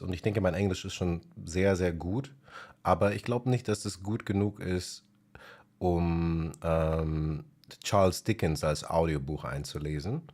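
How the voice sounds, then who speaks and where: Very deep-voiced man musing casually. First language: German. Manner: relaxed, thinking aloud with many fillers. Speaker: music channel host. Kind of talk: casual spoken vlog.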